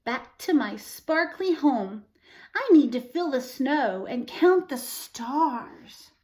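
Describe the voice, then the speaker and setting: A woman voicing a small, gentle, wistful male owl from a picture book. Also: sits high, animated, slow. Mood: wistful hurry. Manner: gasping, wistful.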